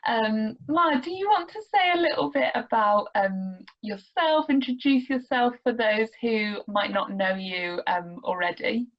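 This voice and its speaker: A woman's voice: a little high, a little slow, few pauses. Woman host, effusive and enthusiastic, slightly muffled video call sound. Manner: warm, effusive, enthusiastic praise. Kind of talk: hosting an online interview.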